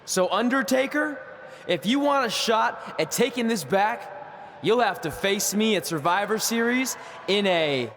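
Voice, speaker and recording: A brash young wrestler in a game cutscene. He boasts and goads in a high voice that rings around a large hall. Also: animated, unhurried, fairly bright tone, slight background noise, heavily acted. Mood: goading. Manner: boastful, goading, declamatory. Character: brash, taunting young male wrestling challenger.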